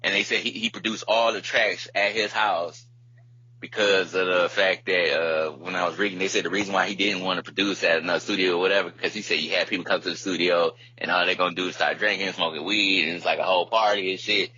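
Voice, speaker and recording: A low-pitched man with a bright, punchy voice chats casually as a podcast co-host. He rambles in loose slang and swears freely. The recording is muffled and lo-fi, with a faint hum. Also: steady tone, quick pace, breathy edge. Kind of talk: casual music discussion.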